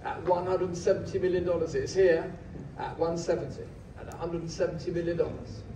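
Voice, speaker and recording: A man working as an auctioneer, calling bids in a steady, rhythmic way in a large packed auction hall, recorded from the audience. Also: on the high side, noisy background. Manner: rhythmic, measured bid-calling. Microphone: podium mic, filmed by phone from the audience. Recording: distant phone recording in echoey hall. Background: room ambience.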